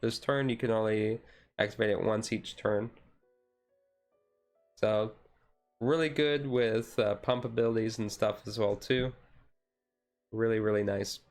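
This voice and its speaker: A man giving casual commentary on a card deck as a streamer, thinking aloud in a halting way. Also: on the low side, steady tone, a little mellow, faint music behind.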